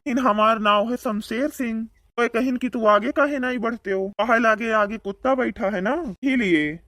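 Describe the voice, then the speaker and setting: A man's voice: extremely high pitch, sharp tone, no echo, clean studio sound, heavily acted. A man voicing a boastful, bickering village man in a comedy cartoon, speaking with an Awadhi accent and taunting banter. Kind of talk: comedic cartoon dialogue in rural dialect.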